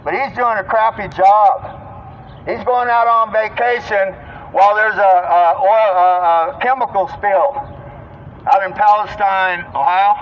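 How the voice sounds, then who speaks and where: A man's voice: high-pitched, steady tone, measured pace. An American man giving a scolding political street speech through a megaphone, full of insistent questions, outdoors in a city. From United States. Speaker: street activist. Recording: dull muffled megaphone sound, outdoor noise.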